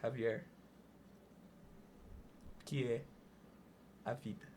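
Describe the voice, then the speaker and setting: A man hosting a podcast, speaking deliberately with emphatic pauses, in a conversational and sometimes poetic style. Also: mid-range voice, little pitch movement, darkish tone, a little hiss or hum. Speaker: podcast host. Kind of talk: conversational hosting and spoken-word poem recital.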